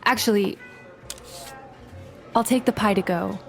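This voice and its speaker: A woman's voice: mid-range voice, measured pace, gravelly, soft background music. An actress playing a polite female diner customer in an audio drama.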